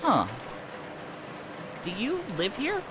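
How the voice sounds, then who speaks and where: A man's voice: very high voice, wildly swooping pitch, takes it slowly, noisy background. A man streaming a game, reading its dialogue aloud in a wry, playful way.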